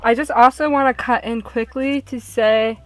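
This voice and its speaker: A woman's voice: fairly high pitch, steady tone, normal speed, dry recording, rough recording. A woman chatting casually as a co-player in a group game playthrough. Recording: music playing behind the voice.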